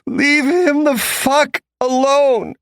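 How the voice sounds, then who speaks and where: A man's voice: extremely high pitch, measured pace, gravelly, no room sound, pitched above the performer's own voice, full character voice. A man voicing a terrified young woman held captive, screaming and pleading.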